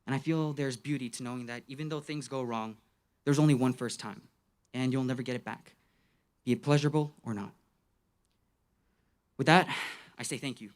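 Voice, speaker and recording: A man's voice: mid-range voice, steady tone, moderate pace, pauses often. Playful teenage boy giving a graduation speech. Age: late teens. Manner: playful, tongue-in-cheek, with dramatic emphatic phrasing. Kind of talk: graduation speech with youth slang and jokes.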